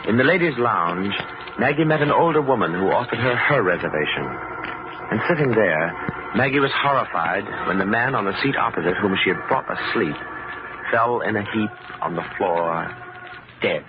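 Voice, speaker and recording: A man acting as a dramatic mystery narrator in old-time radio style, measured and portentous. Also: lowish voice, expressive, very noisy, lightly acted. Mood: dramatic, ominous. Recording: muffled old radio recording. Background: music.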